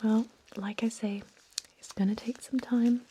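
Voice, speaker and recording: A woman whispering an ASMR roleplay as a gentle, reassuring vampire doctor, with hesitant, soothing bedside patter close to the microphone. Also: a little high, little pitch movement, unhurried, background noise. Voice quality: breathy whisper.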